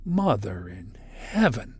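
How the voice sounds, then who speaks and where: A man's voice: on the low side, sing-song, slow, darkish tone, husky, faint music behind, heavily acted. An actor playing a plain-spoken, wry spaceship crewman with a folksy manner, in an old-time sci-fi radio drama. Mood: horrified.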